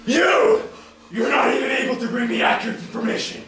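A man's voice: very high-pitched, expressive, brisk, a bit bright. Young guy playing nasty overseer barking on stage, swoops all over, far from mic. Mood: furious, contemptuous. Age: young adult. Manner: barking, domineering. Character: cruel, bullying male military overseer. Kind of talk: loud amateur stage acting. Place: small theatre stage. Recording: very echoey theatre, distant camera.

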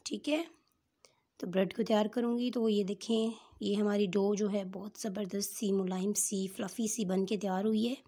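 A woman's voice: medium pitch, steady tone, moderate pace, dark tone. A woman with a Pakistani Urdu accent hosting a cooking channel, explaining each step of a recipe patiently and with frequent fillers.

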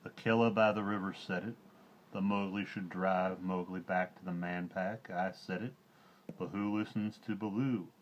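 A man's voice: sits low, steady tone, normal speed, dull audio, close to an everyday voice. A man voicing a wise old male bear teacher, speaking with grave, formal old-fashioned gravity. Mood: stern.

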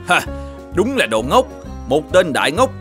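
A man voicing a grumpy, nagging older shoemaker in an animated folk tale, muttering and exclaiming as he talks. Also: fairly high pitch, unhurried, soft background music. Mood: scornful. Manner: exclaiming, muttering to himself, scolding. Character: grumpy, nagging older shoemaker husband, scornful and quick to scold.

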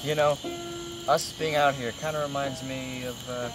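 A man's voice: medium pitch, fairly even intonation, normal speed, lightly acted. A young man acting a weary, uneasy army veteran in a western film, recorded outdoors. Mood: reflective. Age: young adult. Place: outdoors in woods. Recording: noisy outdoor location sound with insects.

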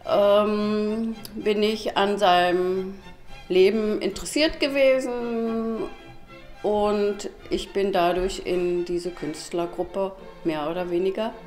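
Unhurried woman in her sixties. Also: medium pitch, steady tone, darkish tone. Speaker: volunteer mentor. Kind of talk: interview. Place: room with dark red wall, indoors. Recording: echoey room, faint music.

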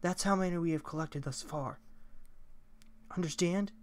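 A man's voice: on the high side, moderate pace, lightly acted. A man voicing a fierce, proud female fish warrior from a video game, with a dramatic, menacing delivery. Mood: menacing, solemn. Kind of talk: character voice acting of video game dialogue.

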